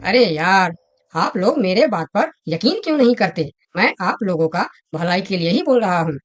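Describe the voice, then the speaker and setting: Friendly talking monkey for a kids' cartoon, played by a woman: earnest, expressive, lower register, very animated delivery. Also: normal speed. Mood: pleading, confident. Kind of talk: children's cartoon story dialogue.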